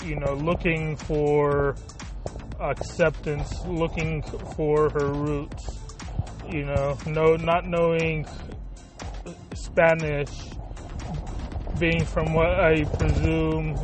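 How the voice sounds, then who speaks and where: A man hosts a podcast, talking slowly in a fairly high voice and stopping often to search for words. A clearly audible music bed runs under his casual monologue. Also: flat delivery. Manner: halting, full of fillers and restarts, searching for words. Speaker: podcast host.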